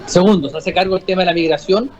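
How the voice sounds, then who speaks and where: A man with a Chilean accent, a guest on a political panel, arguing his view in a measured way with frequent restarts. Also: sits high, a little mellow. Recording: slightly muffled audio with faint music.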